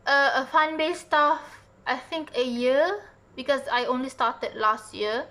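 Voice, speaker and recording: Higher-voiced young woman, casual. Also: measured pace, bright, forceful tone. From Malaysia. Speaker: fan base staff. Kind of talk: interview answers.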